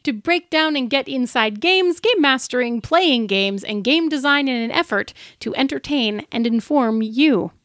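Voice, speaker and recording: Playful high-pitched woman podcasting. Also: lively intonation, unhurried, hardly any pauses, fairly bright tone, no room sound, clean studio sound. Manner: playful, informal. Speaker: podcast co-host. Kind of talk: casual podcast banter.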